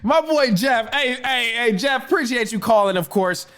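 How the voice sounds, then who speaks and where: A man's voice: very high voice, normal speed, fairly bright tone, smooth. A man hosting a sports podcast, giving casual, opinionated commentary in slangy, emphatic language with repeated phrases.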